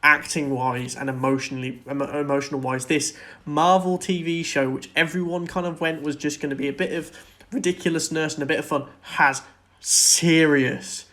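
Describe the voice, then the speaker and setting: Guy with a slightly high voice chatting on a movie podcast, earnest and opinionated. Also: steady tone, moderate pace, a bit bright. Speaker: podcast co-host. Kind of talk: casual film and TV discussion.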